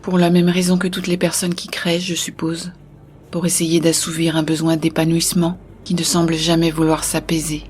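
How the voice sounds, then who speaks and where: A woman's voice: low-pitched, completely flat delivery, moderate pace, a little mellow, slight background noise, lightly acted. A woman playing a thoughtful, matter-of-fact companion in a science-fiction audio drama, speaking reflectively. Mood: calm, reflective.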